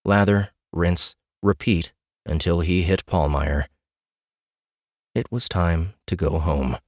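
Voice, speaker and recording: A man with a deep, low voice narrates a fantasy audiobook. The sound is dry, with no room around it. He reads prepared prose as a storyteller. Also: fairly even intonation, slow pace, darkish tone, no echo.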